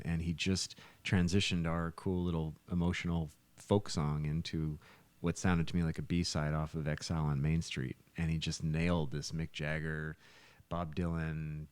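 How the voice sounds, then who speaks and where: A man's voice: rumbling low, a little mellow, no echo. A man telling stories in a casual, conversational way as a musician guest on a podcast. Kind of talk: casual storytelling chat.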